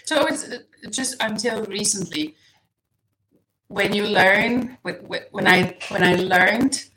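A woman from Serbia whose native language is Serbian, a podcast guest speaking in a casual interview, soft-spoken and hesitant, restarting often and laughing lightly. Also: mid-range voice, slow pace, over a noisy crowd, rough recording. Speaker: guest, nutrition coach.

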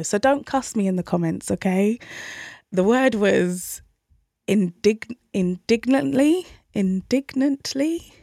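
Gravelly-voiced woman podcast host. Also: mid-range voice, warm tone, no echo, clean studio sound. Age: 30s. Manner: conversational and reflective, openly self-questioning. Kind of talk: solo parenting podcast talk.

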